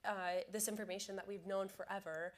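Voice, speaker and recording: A woman's voice: mid-range voice, steady tone, brisk. A woman who is a bit sick and sounds nasal, speaking as an author on a conference panel in an upbeat, chatty way. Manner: upbeat, chatty, laughs easily.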